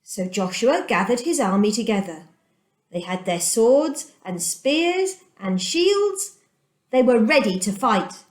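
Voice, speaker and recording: A woman reading aloud to children as a storyteller, with deliberate, dramatic emphasis and pauses. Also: on the high side, a little mellow. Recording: echoey room.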